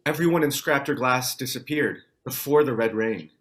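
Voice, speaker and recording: A man's voice: mid-range voice, moderate pace, fairly bright tone, natural voice. A man playing a concerned, sober survivor in a scripted table read.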